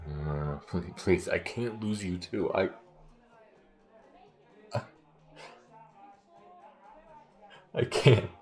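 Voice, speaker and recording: A man playing a loyal, grieving spaceship smuggler in an audio roleplay, with a halting, earnest, emotional delivery. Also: low-pitched. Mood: distraught, pleading. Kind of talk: dramatic audio roleplay acting.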